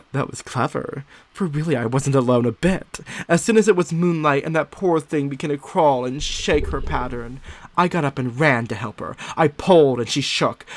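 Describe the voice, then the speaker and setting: A man's voice: fairly high pitch, fairly bright tone, no room sound. A man performing an unhinged, secretive married woman who is unravelling, in a theatrical, sly, gleeful gothic monologue. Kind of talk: dramatic first-person gothic story narration.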